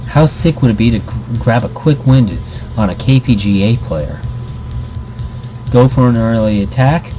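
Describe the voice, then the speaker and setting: A man's voice: medium pitch, animated, normal speed, music in the background, low-quality recording. A man hosting a casual let's play, reading visual novel text aloud with commentary and often stumbling and repeating words. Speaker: gaming video host.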